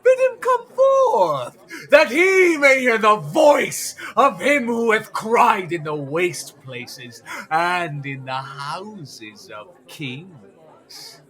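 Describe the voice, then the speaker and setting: An actor playing a fanatical prophet raging against sin, declaiming in an oratorical classical audio drama style. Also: male voice, high voice, big pitch swings, extremely slow, fairly bright tone, full character voice. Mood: thundering. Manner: ranting, oratorical, archaic solemn delivery.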